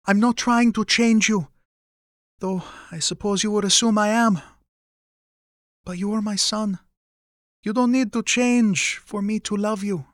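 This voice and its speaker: A man's voice: high-pitched, fairly even intonation, measured pace, pauses often, dry recording, clean studio sound, pitched above the performer's own voice. A man voicing a middle-aged mother in an audiobook, anxious, regretful and tender, with careful, hesitant, formal phrasing. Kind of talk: audiobook character dialogue.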